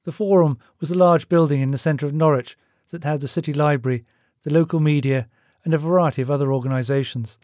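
Male audiobook narrator, slightly high-set voice, dark and relaxed tone, scripted storytelling. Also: fast talker, few pauses, dry recording, clean studio sound.

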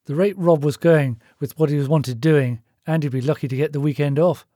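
Male audiobook narrator, slightly high-set voice, dark and relaxed tone, scripted storytelling. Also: brisk, no room sound, studio quality.

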